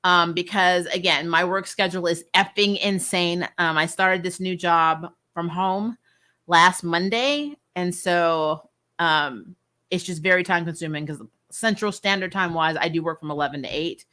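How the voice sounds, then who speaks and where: A woman hosting a casual livestream review, chatting with viewers in an animated, emphatic way and repeating phrases for effect. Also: on the low side, a little slow. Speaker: host of a comic and TV review channel. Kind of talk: casual livestream chat, answering viewer questions.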